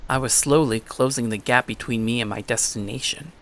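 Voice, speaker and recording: A man playing an anxious, introspective high school boy who narrates his own story. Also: fairly quick, a bit bright, close to an everyday voice. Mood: nervous. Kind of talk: first-person scripted story narration. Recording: music under the voice.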